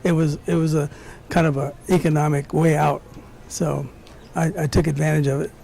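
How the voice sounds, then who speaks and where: Higher, creaky-voiced older man reminiscing. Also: little pitch movement, moderate pace, mellow, a little hiss or hum. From born in Mexico, grew up in the United States from early childhood. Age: 60s. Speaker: interview guest, former track runner. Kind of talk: casual personal recollection.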